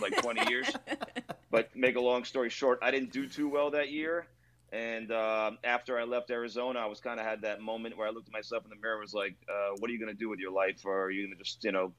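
A man from Maryland, a restaurant owner, telling stories in a relaxed, rambling way with lots of fillers during an interview. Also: on the low side. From Rockville, Maryland, near Washington D.C. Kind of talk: casual interview over video call. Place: his own themed bar.

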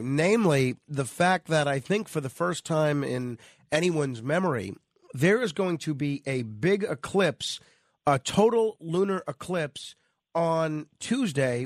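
A man's voice: fairly high pitch, dry recording, studio quality. A man hosting conversational talk radio, his voice nasal and stuffed up with a cold. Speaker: radio host.

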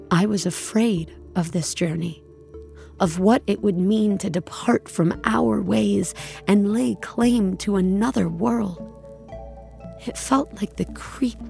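A woman playing an uneasy space colonist, narrating her journey in a measured, deliberate way for an audio drama. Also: mid-range voice, vocal fry, mellow. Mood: somber, fearful. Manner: measured, deliberate, brooding delivery. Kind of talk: scripted audio drama narration, first-person.

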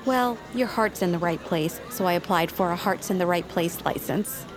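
A woman's voice: fairly low pitch, moderate pace, music in the background, natural voice, poor audio. A woman playing a chirpy, self-promoting town councilwoman in an audio drama, with glib, peppy patter. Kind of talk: audio fiction acting.

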